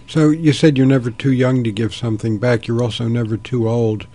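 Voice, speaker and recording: A genial older man hosts a radio call-in show, chuckling easily between questions. His voice is gravelly and sits in a middle register. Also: medium pitch, steady tone, brisk, mellow. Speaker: radio host.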